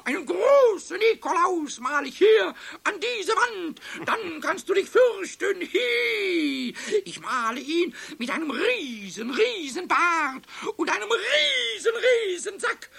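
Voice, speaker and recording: Little boastful kobold, very high squeaky voice, gravelly creak, childlike, cheeky, singsong, children's radio drama. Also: male voice, takes it slowly, hardly any pauses, exaggerated voice. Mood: mischievous glee. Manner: boastful, excitable, stammering, breaks into singsong rhymes. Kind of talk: 1970s German children's radio play acting.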